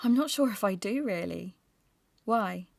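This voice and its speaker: A woman playing a curious, polite podcast host and investigator, speaking in a measured, everyday way as in a scripted audio drama. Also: medium pitch, animated, creaky, no room sound. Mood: hesitant, curious. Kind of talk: scripted narration and staged interview.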